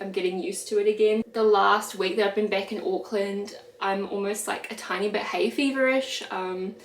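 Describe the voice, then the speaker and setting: A young woman with a New Zealand accent talks in a loose, chatty way, full of fillers. Her nose is blocked, so her voice sounds nasal and stuffy. She is recorded in a kitchen with hard walls that make the sound echo. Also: medium pitch. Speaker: vlogger. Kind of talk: casual chat. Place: home kitchen. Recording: echoey room.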